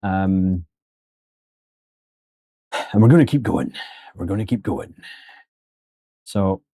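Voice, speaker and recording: Low, mellow man chatting through a tutorial. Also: lively intonation, slow pace, gravelly, breathy edge, dry recording. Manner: chatty and joking, talks to viewers as folks. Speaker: 3D sculpting instructor streaming live. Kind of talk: casual live-stream tutorial commentary.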